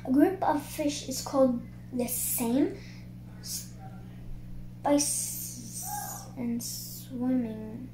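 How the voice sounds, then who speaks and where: A high child's voice reads a picture book aloud in an echoey room. The reading is slow and halting, with words sounded out piece by piece, and there is clear noise behind it. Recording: roomy echo, clear background noise.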